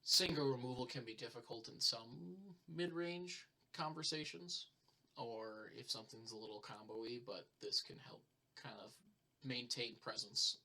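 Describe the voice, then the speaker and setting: Male co-host, very bright forceful tone, raspy edge, mid pitch, casual game talk. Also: measured pace. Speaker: co-host of a trading card game channel. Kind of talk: casual deck discussion.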